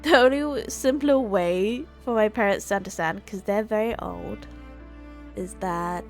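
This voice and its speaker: A woman's voice: mid-range voice, unhurried, soft background music. A woman livestreamer chatting casually in a hesitant, rambling way, often repeating and rephrasing herself. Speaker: livestreamer and gamer.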